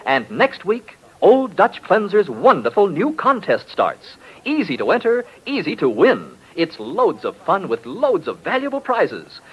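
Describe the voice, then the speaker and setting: A man announcing in 1940s radio style, narrating and pitching products with emphatic, promotional delivery. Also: breathy edge. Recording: dull, lo-fi old radio broadcast.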